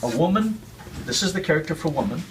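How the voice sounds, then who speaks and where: A man's voice: fairly high pitch, very animated, quick pace, a little hiss or hum. A man with Cantonese roots giving an earnest, casual explanation as a guest speaker, often repeating words. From Chinese heritage, raised with Cantonese.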